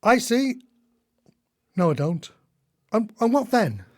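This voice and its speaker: A man's voice: high-pitched, sing-song, takes it slowly, exaggerated voice. A man playing an earnest, cynical retired husband in a radio play, speaking in a declamatory way. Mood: intrigued.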